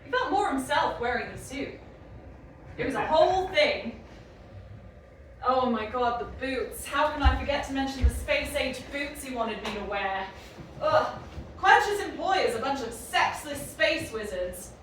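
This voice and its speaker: A woman's voice: high voice, expressive, unhurried, fairly bright tone. A woman playing a frank, irreverent young woman in a sci-fi audio drama, talking in a casual, wry, confessional way. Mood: candid, exasperated. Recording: very echoey, distant, clearly noisy.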